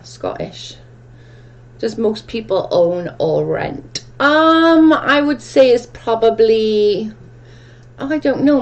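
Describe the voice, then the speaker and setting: A woman with a strong Welsh accent hosting a casual livestream chat, reading viewer comments aloud and answering them in a chatty, rambling way. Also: on the high side. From Wales. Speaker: livestream host.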